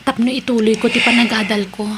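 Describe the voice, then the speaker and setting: A polite young female employee played by a woman in an audio drama, speaking earnestly in a fairly high voice. Her accent is Ilocano Filipino, and there is noise behind her. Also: fairly even intonation, fast talker, natural voice.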